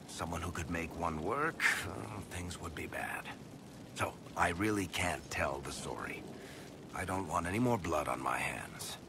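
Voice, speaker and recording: An actor playing a wry, guilt-ridden dwarf storyteller in a video game, blunt and sardonic but hesitant when pressed. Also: male voice, low-pitched, background noise. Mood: wry, evasive.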